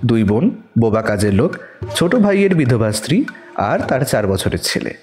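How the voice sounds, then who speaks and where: A man narrating a scripted detective story in the first person, expressive and suspenseful. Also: mid-range voice, normal speed, faint music behind.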